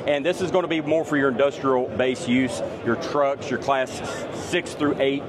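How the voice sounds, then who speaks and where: A man's voice: mid-range voice, steady tone, normal speed. A man, hosting a podcast interview on a trade show floor in an upbeat, enthusiastic way. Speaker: podcast host. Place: busy expo hall floor. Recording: crowd noise in a busy hall.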